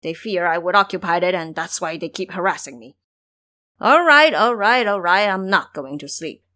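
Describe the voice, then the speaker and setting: Here is a lazy pig-man fool from an audio drama, acted out by a woman. He grumbles with great self-pity and indignation. The pitch swings widely as he exclaims over his lot. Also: lively intonation, moderate pace, sharp tone. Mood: sulky, annoyed. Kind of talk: audio drama character acting.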